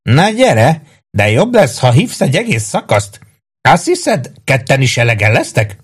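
A man voicing a small, defiant young soldier in an audiobook, shouting coarse taunts. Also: mid-range voice, wildly swooping pitch, husky, pitched above the performer's own voice, exaggerated voice. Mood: furious taunting.

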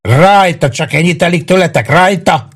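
A man voicing a small, defiant young soldier in an audiobook, shouting coarse taunts. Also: medium pitch, very animated, slightly rough, pitched above the performer's own voice. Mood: furious taunting.

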